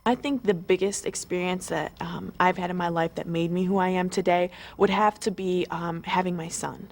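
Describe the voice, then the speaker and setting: Chatty twenty-year-old woman, low voice. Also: fairly even intonation, normal speed. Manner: chatty, eager, many fillers and restarts. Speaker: model contestant. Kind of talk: pre-show interview.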